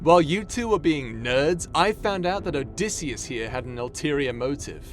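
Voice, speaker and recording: Higher-pitched, animated, snarky adventurer acted by a man. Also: moderate pace, slight background noise, close to an everyday voice. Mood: mocking. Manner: sarcastic, blunt, casual wording. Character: snarky, suspicious, irreverent male space adventurer. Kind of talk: audio roleplay drama.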